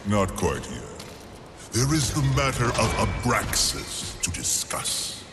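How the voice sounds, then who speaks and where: An actor playing an ancient, commanding and cryptic being in a video game, speaking slowly and formally in a deep, gravelly voice. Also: male voice, big pitch swings, over loud music. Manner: slow, portentous, formal. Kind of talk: dramatic video game acting.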